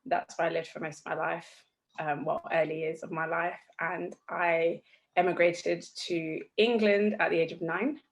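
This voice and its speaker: A young woman from Zimbabwe with a somewhat low voice chats as a guest over a video call. She pauses often with ums and soft laughs as she thinks. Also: steady tone, low-quality recording. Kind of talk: casual interview answers.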